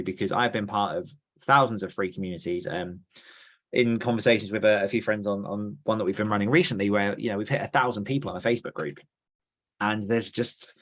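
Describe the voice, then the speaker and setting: A man hosting a podcast, explaining things earnestly in casual conversation. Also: on the low side. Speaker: podcast host.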